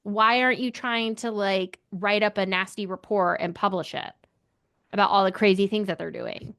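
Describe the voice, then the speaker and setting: A woman's voice: medium pitch, moderate pace, fairly bright tone, smooth. A woman co-hosting a book podcast in casual conversation, speaking informally and offering her opinions freely. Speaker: book club podcast co-host. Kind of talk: casual book discussion chat.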